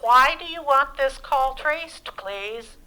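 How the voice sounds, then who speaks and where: A woman's voice: sits high, lively intonation, slow, pushed and bright, exaggerated voice, low-quality recording. An actress playing a polite, officious telephone operator in a radio suspense play, formal and procedural in her delivery. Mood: polite, unbending. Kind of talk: old-time radio suspense play acting. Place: live theatre stage.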